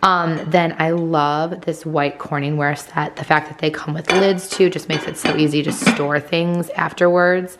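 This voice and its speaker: A woman recording voice-over for her cooking vlog, hoarse from losing her voice, giving friendly step-by-step tips. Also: sits low, steady tone, a little slow, few pauses, slight background noise. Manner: friendly instructional. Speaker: homemaking vlogger.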